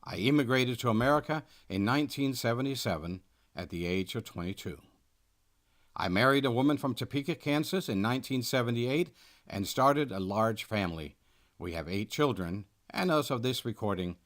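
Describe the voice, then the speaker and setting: A man's voice: lowish voice, lively intonation, fairly bright tone. Earnest man in his sixties. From Denmark. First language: Danish. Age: 60s. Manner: earnest, measured read-aloud delivery. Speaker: nonprofit founder presenting. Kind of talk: scripted first-person presentation narration.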